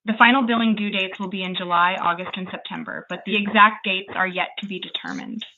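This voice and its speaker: A woman's voice: mid-range voice, fairly even intonation, brisk, pushed and bright. A woman's synthetic assistant voice on a phone line, giving polite, formal, scripted customer service answers without any hesitation. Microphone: phone call. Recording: tinny phone line with slight noise.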